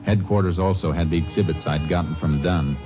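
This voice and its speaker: Guy doing a real deep calm texas ranger, clipped and polite, dull hissy old radio show sound. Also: fairly quick, over music, close to an everyday voice. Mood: matter-of-fact. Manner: terse, businesslike, polite. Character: calm, dogged Texas Ranger investigator, a man. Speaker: lead actor, narrator. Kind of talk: 1950s radio drama acting.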